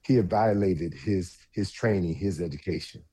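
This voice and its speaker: A man speaking as a radio panel guest, discussing thoughtfully in deliberate, halting phrases. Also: low voice, warm tone.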